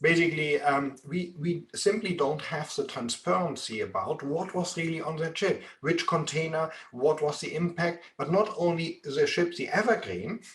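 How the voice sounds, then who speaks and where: A man with a strong German accent, explaining at a measured pace in an expert interview, with frequent ums. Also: fairly high pitch, lively intonation, fairly bright tone. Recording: roomy echo.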